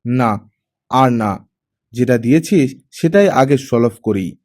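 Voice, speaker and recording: A man voicing a proud, irritable older retired police chief and father in an audiobook drama, gruff and authoritative. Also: medium pitch, expressive, takes it slowly, a little mellow. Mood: softer, fatherly.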